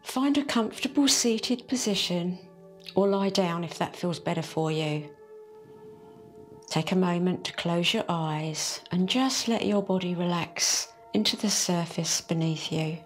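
Calm lady talking thru a meditation, real slow + soothing, faint music behind. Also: lowish voice. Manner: slow, soft and soothing, drawn-out phrases. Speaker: meditation teacher. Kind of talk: guided meditation.

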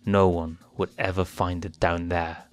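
A man's voice: deep voice, level tone, measured pace, soft background music. A man voicing a young male lion cub, eager and boastful, in a raised voice. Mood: cocky. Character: cocky boyish lion cub prince.

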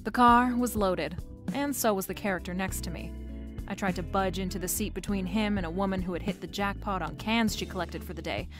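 A woman's voice: medium pitch, brisk, music in the background, natural voice. A woman playing a wisecracking, flirtatious private eye, narrating a noir radio drama in a wry, playful way. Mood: wry storytelling.

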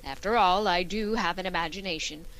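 A woman playing a quick-witted, sardonic schoolteacher with dry delivery in an old-time radio comedy. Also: lowish voice, a bit bright, low-quality recording. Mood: wry. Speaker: lead actor in a radio play recreation. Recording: clear background noise.

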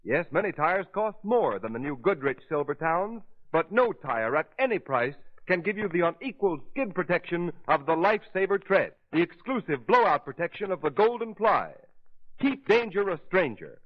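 High-pitched male 1930s radio announcer. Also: very animated, normal speed. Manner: emphatic sales pitch. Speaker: commercial announcer. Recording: dull 1930s radio recording.

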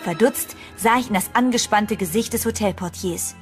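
A woman's voice: mid-range voice, moderate pace, natural voice. A woman narrating a German horror radio drama in the first person, as a tense, bewildered storyteller. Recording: music under the narration.

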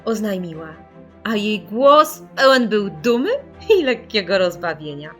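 Female narrator for kids' audiobook: expressive, very varied melody, mid-pitched, dramatic stress on key words. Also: unhurried, soft background music. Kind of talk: expressive scripted storytelling for children.

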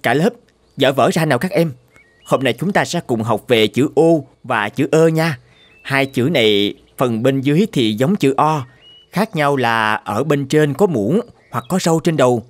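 A man's voice: mid-range voice, expressive, moderate pace, close to an everyday voice. A man voicing an earnest woodpecker schoolteacher in a children's cartoon, instructive and chiding. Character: earnest male woodpecker schoolteacher, concerned and admonishing.

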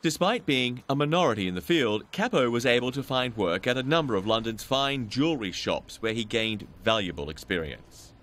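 A man's voice: medium pitch, animated, brisk, few pauses, fairly bright tone, a little hiss or hum. A man reading scripted narration for a television documentary.

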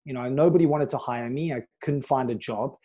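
A middle-aged man from South Africa telling his life story as a podcast guest, earnest and steady, with pauses and fillers, on a video call. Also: medium pitch, husky. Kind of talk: candid life story in a conversation. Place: small room with a plain wall. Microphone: wired earbud mic on a video call.

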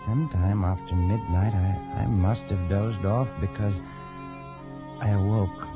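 A man's voice: on the low side, fairly even intonation, measured pace, lightly acted. An actor playing a shaken, defensive colonial Englishman in a post-war radio drama, speaking in a hesitant, stammering way. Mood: haunted, narrating. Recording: dull vintage radio recording with music.

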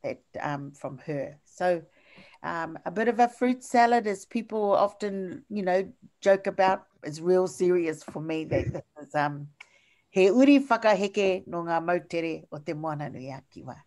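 An older woman with a New Zealand accent giving a lecture over slides on a video call, halting, with many fillers and restarts. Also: on the low side, lively intonation, darkish tone.

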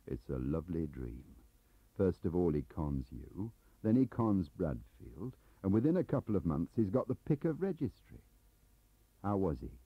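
A man's voice: low-pitched, big pitch swings, moderate pace, slightly rough, no echo, heavily acted. A man voicing a blunt, sardonic investigator who needles with questions, in an audiobook on a dull cassette. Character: blunt, sardonic male investigator, probing and impatient.

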